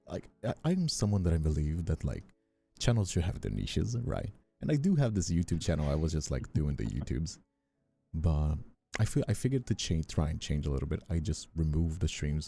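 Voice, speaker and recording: Very deep, gravelly, dark-voiced man. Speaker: streamer and voice actor hosting an ASMR livestream. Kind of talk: unscripted ASMR livestream chat.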